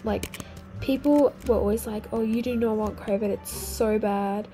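A teenage girl with a slightly croaky, sick-sounding voice, chatting casually over her gameplay and exclaiming at things she finds. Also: on the high side, fairly even intonation, measured pace, dark tone. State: croaky voice from a cold. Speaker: gaming video host. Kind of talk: casual game commentary. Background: faint game music.

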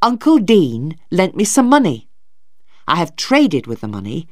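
A man voicing a stern, proud, dutiful young son in a storybook, speaking firmly and deliberately. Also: on the high side, wildly swooping pitch, no room sound, studio quality. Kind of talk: audiobook character dialogue.

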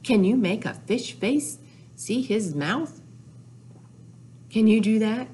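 An older woman hosts a storytime for young children with rhymes and counting. She speaks very slowly in a sing-song, repetitive way, with pitch that moves up and down a lot. There is some background noise behind her. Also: expressive, warm tone. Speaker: children's librarian, storytime host. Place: small indoor room with whiteboard.

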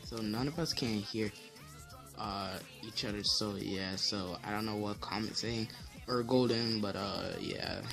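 A teenage boy with a deep voice giving casual gameplay commentary as channel host, rambling with many fillers and restarts. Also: a little slow, gravelly, music in the background.

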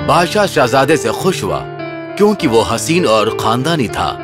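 A male narrator reads a children's fairy tale from a script. His delivery moves widely in melody at a middle pitch, with music playing underneath. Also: big pitch swings.

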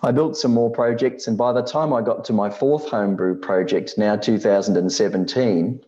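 Low-voiced older Australian man presenting. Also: normal speed, warm tone, muffled recording. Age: 60s. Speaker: amateur radio presenter. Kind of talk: scripted slide presentation narration.

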